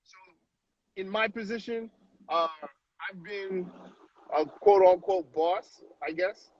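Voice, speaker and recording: A man in his late thirties from Haiti, a guest telling stories in a casual, animated way over a video call, often tagging on questions. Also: sits high, takes it slowly, highs cut off, a little hiss or hum. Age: 30s. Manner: animated, with frequent tag questions. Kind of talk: casual storytelling on a live stream.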